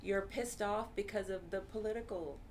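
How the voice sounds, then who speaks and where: A woman's voice: mid-range voice, normal speed, a little mellow, a little hiss or hum. A woman therapist whose first language is Urdu, talking earnestly in a casual interview and often saying "you know.".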